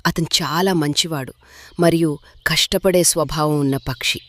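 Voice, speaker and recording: A woman's voice: sits low, normal speed, close to an everyday voice. A woman voicing a worried, motherly bird in a cartoon story, speaking persuasively. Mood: coaxing. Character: worried, insistent mother bird urging her daughter. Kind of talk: cartoon moral story dialogue.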